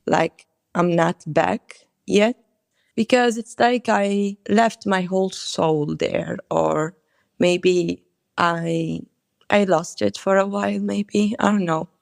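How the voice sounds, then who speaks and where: Slow, gravelly young Moroccan woman. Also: lowish voice, steady tone, a little mellow, no echo, studio quality. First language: not English. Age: 20s. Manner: hesitant, halting, searching for words. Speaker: interview guest. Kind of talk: conversational interview.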